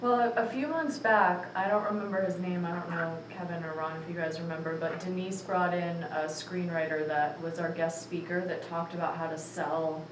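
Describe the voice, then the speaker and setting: A woman's voice: fairly low pitch, steady tone, moderate pace, few pauses. A woman hosting a meeting Q&A, chatty and friendly with lots of "you know". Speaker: meeting host. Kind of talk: casual Q&A at a meeting. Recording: distant mic in an echoey room with noise.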